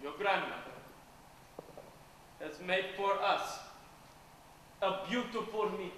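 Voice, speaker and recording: Deliberate old grandfather acted by a man, high-pitched, amateur theater, very echoey distant recording. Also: fairly bright tone. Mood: announcing. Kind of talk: amateur stage play acting. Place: theater stage, far camera. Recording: hall echo, distant noisy stage recording.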